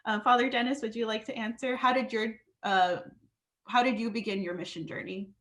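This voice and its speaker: A woman's voice: a little high, steady tone, brisk, a bit bright. A woman from California who hosts an interview and asks her guests questions encouragingly over a video call. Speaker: podcast interviewer. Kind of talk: casual interview.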